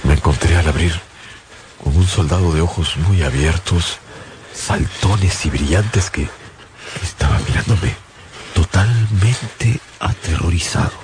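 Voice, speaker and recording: An actor playing a haunted survivor who narrates his ordeal in a radio drama, halting and suspenseful. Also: low-pitched, background noise. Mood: grave, tense. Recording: dull sound under loud music.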